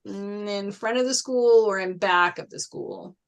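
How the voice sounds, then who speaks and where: A woman's voice: mid-range voice, measured pace, vocal fry, muffled recording. A woman reading an earnest, probing teenage jock boy in a casual, questioning way at a table read. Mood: puzzled, playful. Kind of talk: table read of a film script.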